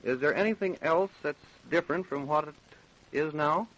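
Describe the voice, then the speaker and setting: Coroner for vintage-style radio play, male actor: American, slightly high voice, measured, official, probing, even-keeled. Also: a little hiss or hum, lightly acted, rough recording. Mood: methodical. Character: probing, even-tempered male small-town coroner investigating deaths. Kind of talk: old-time American radio drama acting.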